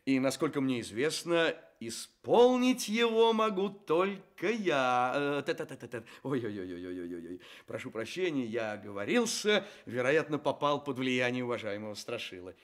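An actor playing a courteous, playful storyteller with theatrical, drawn-out emphasis in a children's radio play. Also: male voice, medium pitch, big pitch swings, close to an everyday voice. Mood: amused, formal. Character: courteous, playful male storyteller addressing fairy-tale characters. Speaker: storyteller and host.

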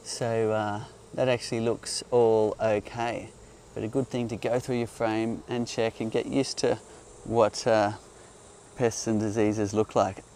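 A man calmly explaining beekeeping outdoors among hives, speaking in a gentle, explanatory way while hosting a live Q&A. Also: on the low side, measured pace, a little hiss or hum. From Australia. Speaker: beekeeper host. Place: outdoors on a hillside among beehives. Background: faint outdoor ambience and buzzing bees.

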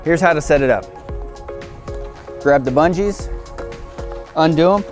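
Higher-voiced man demonstrating over music. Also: measured pace. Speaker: product demonstrator. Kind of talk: casual instructional walkthrough.

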